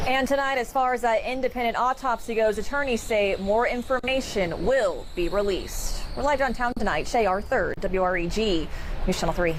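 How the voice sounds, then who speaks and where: A woman's voice: medium pitch, a little hiss or hum. A woman delivering a scripted news report as a television reporter.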